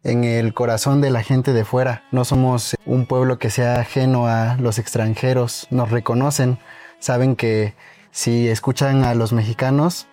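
A man, a violinist, answering interview questions fluently and calmly. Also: medium pitch, a little slow.

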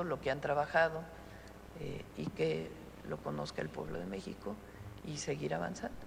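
A Mexican woman holding a press conference, answering questions in a measured, deliberate way with frequent pauses. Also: lowish voice, gravelly, in a large hall, slight background noise.

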